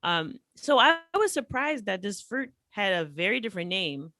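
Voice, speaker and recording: A woman from the United States, a guest on a podcast, telling stories in an animated, chatty way with many fillers. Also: medium pitch, moderate pace, dry recording, studio quality. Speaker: podcast guest, language teacher. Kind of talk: casual interview storytelling.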